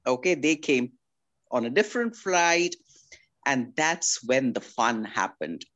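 Woman in her 60s, from India, unhurried delivery, affectionate, family interview guest. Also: a bass voice, very animated, a bit bright, highs cut off. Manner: affectionate, calls people darling.

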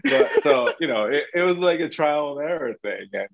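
Young man, podcast guest, from Hong Kong, deep, gravelly, thoughtful, muffled dry recording. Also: normal speed, no room sound. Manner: relaxed, lots of fillers and restarts, thoughtful. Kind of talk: casual interview.

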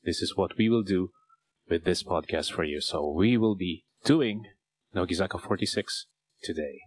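A man's voice: deep voice, moderate pace. A man with a Filipino accent hosting a casual podcast chat, rambling with fillers and restarts. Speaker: podcast host.

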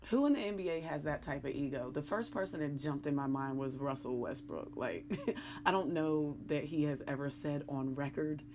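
Raspy, low-voiced woman sports host. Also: moderate pace, background noise. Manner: frank, joking, rambling, with mild cursing. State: hoarse. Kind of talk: casual sports talk monologue.

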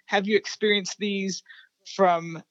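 A woman speaking as a researcher guest in an interview, explaining findings in a measured way. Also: medium pitch, steady tone, dry recording, lo-fi sound.

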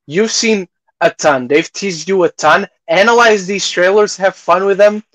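A man's voice: high-pitched, unhurried, fairly bright tone, no echo, rough recording. A man co-hosting a podcast, chatting casually about movies and television. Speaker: podcast co-host.

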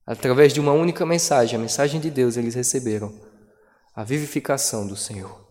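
A man preaching a sermon as pastor, with dramatic pauses and emphatic delivery. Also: medium pitch, a little mellow. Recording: long hall reverb.

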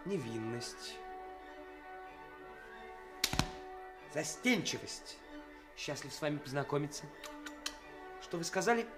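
An actor playing a nervous, self-mocking young stage actor in a radio play, theatrical and wry. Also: male voice, fairly high pitch, big pitch swings, moderate pace, over music. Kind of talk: 1980s Soviet radio play acting.

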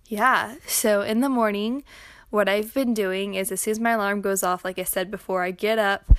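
A woman's voice: mid-range voice, fairly even intonation, normal speed, a little hiss or hum. A young adult woman talking in a casual, rambling, informal way in a personal audio log, saying "like" often. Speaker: podcaster, schoolteacher.

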